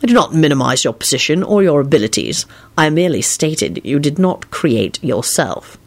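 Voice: mid-range voice, big pitch swings, moderate pace. A reader as a blunt, sardonic, irritable man. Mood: weary. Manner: dry, blunt, sarcastic.

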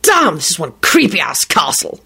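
A woman's voice: lowish voice, wildly swooping pitch, normal speed, gravelly, sharp tone, breathy edge, full character voice. A woman voicing a blunt, sarcastic male wizard in a story, incredulous and exasperated, often muttering. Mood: uncomfortable.

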